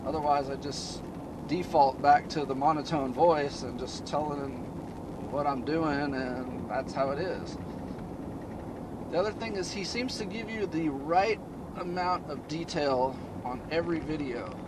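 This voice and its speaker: A man hosting a DIY car channel, chatting casually in a flat, low-key monotone from inside a moving car. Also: a little slow, noisy background. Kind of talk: casual vlog talk while driving.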